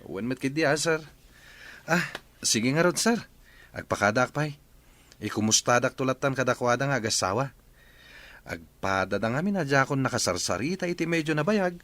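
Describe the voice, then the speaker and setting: An actor playing a polite young family friend with a deferential manner. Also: male voice, medium pitch, lively intonation, moderate pace, pauses often, a bit bright, close to an everyday voice.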